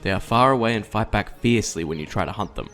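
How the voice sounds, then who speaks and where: Low-voiced man narrating a game tutorial. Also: expressive, fast talker, fairly bright tone, soft background music. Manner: clear step-by-step instructing. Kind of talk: scripted instructional narration.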